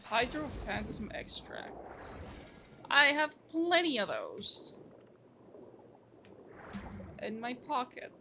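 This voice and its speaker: A woman's voice: on the high side, wildly swooping pitch, slow pace, background noise. A woman streaming a game, reacting casually with short, playful interjections and little sound words. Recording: game music behind the voice.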